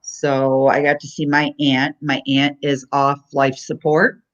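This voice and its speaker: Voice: mid-range voice, flat delivery, measured pace, a bit bright. A livestream host chatting casually and conversationally, giving personal updates to friends. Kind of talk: casual chat about family updates. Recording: muffled lo-fi voice-chat audio.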